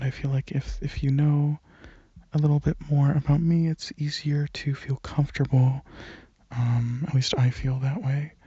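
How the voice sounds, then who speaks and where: A man rambles casually in a soft ASMR style, very breathy and often close to a whisper. His delivery is slow and hesitant, with informal phrasing. The recording is close and slightly muffled. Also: mid-range voice, no room sound. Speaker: ASMR channel creator.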